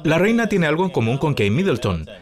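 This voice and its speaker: A man's voice: fairly high pitch, sing-song, brisk, crowd noise. A man hosting a financial news show, delivering dubbed commentary with sarcastic, mocking banter. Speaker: show host.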